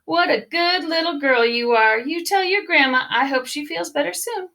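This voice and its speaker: Woman voicing a sly big bad wolf, high-pitched. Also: moderate pace, bright, forceful tone. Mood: flattering. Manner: sweet-talking, falsely polite.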